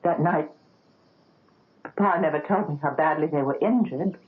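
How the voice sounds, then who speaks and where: An actress playing a gentle young doctor's daughter in a 1970s radio drama. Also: female voice, sits low, normal speed, close to an everyday voice. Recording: narrow phone-like old radio broadcast.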